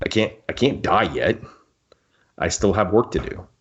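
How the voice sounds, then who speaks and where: Male podcast host, low-pitched, wry and rambling, slightly dull lo-fi sound. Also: lively intonation, normal speed, a bit bright, slightly rough. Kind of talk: casual podcast discussion. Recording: dull, lo-fi audio.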